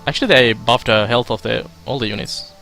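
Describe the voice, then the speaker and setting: A young man from Finland giving casual let's play commentary, halting with fillers as he reads game text aloud. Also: mid-range voice, pushed and bright, faint music behind. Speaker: streamer.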